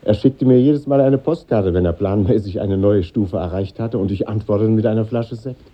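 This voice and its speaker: Man acting as middle-aged narrator, very low husky voice, sober measured storytelling, muffled 1950s radio drama. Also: close to an everyday voice. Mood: sober, reflective. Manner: measured, matter-of-fact storytelling. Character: middle-aged man soberly recalling his former classmates.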